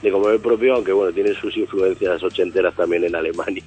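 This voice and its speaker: A male singer answering interview questions in a relaxed, rambling way, thinking aloud with fillers. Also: sits low, fast, breathy edge. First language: Spanish. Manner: thoughtful, rambling with fillers and restarts. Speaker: singer of a band, interview guest.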